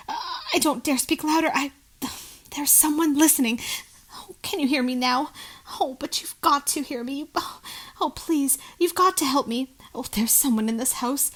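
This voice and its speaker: Woman playing terrified wife, very high voice, breathless, stammering, melodramatic radio-play acting, pleading into a telephone. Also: close to an everyday voice. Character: frightened invalid wife, frantic and pleading on telephone. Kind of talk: old-time radio suspense acting.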